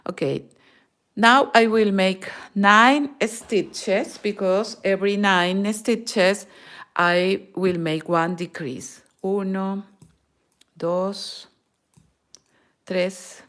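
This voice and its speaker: Peruvian woman, native Spanish, for a knitting tutorial; very slow, patient delivery, counts stitches out loud. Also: mid-range voice. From Peru. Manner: mixes in Spanish words. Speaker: knitting teacher.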